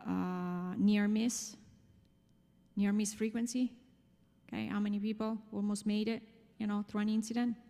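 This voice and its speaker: A woman's voice: medium pitch, mellow. A woman with an Italian accent giving an explanatory, emphatic conference presentation, often checking in with rhetorical questions. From Italy. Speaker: conference speaker. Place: large conference room, speaking to an audience. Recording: long hall reverb.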